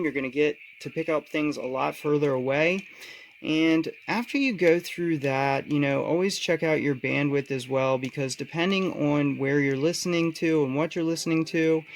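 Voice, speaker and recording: A tutorial host talking casually and informally, giving encouraging hands-on instructions. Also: mid-range voice.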